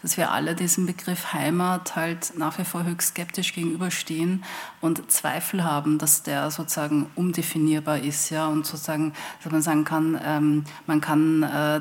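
A woman author talks in a panel discussion. Her voice sits fairly low and stays very flat in melody. She feels her way through sentences, often pausing to find the word. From Austria. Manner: hesitant, searching for words.

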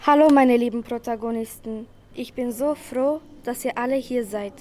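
A woman's voice: on the high side, moderate pace, darkish tone, slight background noise, lightly acted. A woman playing a smug, gloating famous author who declaims in repeated phrases in a radio play. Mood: proudly announcing. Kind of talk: school radio play acting. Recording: music bed behind the voice.

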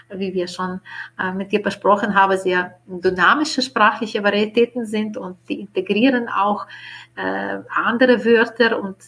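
A woman whose first language is Ukrainian, explaining her research in a studio interview, thoughtful and hesitant with many pauses. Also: medium pitch, a bit bright, slightly rough. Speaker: linguist guest.

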